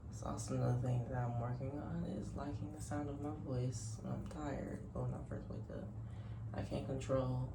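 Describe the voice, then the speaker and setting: A sleepy woman with a deep voice, chatting to her camera at home in a rambling, reflective way. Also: steady tone, moderate pace, vocal fry, heavy background noise. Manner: reflective, rambling, soft-spoken. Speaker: vlogger. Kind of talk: casual chat to camera. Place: top-floor apartment at home. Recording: echoey room.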